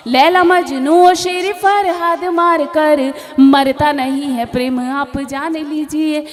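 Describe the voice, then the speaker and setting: A young woman recites verse at a stage poetry gathering, her voice high and her pace drawn out. She repeats each couplet and sings the refrains slowly. Soft music sits faintly behind her. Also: steady tone, in a large hall. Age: young adult. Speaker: poet at a mushaira.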